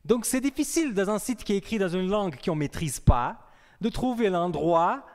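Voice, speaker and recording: A young man preaching a sermon in a conversational way, asking his congregation questions. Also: on the high side. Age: young adult. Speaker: pastor. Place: church hall. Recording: long reverb of a hall.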